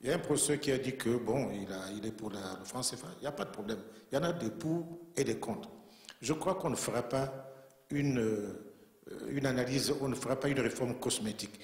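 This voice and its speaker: A man's voice: medium pitch, fairly quick, darkish tone. Man speaking earnestly in an echoey hall. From Central Africa. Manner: earnest, emphatic, repeats himself for weight. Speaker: conference panelist. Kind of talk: formal conference remarks. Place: conference room in a bank.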